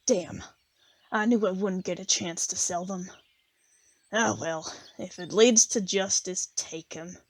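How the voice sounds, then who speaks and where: A woman's voice: lowish voice, slow. A woman playing a poor, plain-spoken country widow, bitter and unsentimental, in an audio drama. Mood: grudging.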